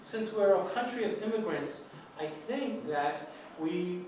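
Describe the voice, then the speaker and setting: A man's voice: sits high, lively intonation, measured pace. A man with a New York accent giving an English lecture to students, reassuring and rambling with many restarts. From United States. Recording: echoey hall, distant mic, noisy.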